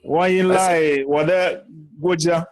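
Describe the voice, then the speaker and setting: A man's voice: fairly high pitch, steady tone, slow pace. A man from Ghana, a student in an online class, carefully repeating practice phrases. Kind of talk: reading drills aloud.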